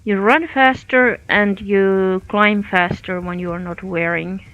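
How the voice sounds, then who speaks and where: Slow, hesitant Finnish-accented woman. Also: medium pitch, fairly even intonation, a bit bright, no room sound, muffled recording. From Finland. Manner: hesitant, many fillers, thinking aloud. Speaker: game streamer. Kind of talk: live gameplay commentary.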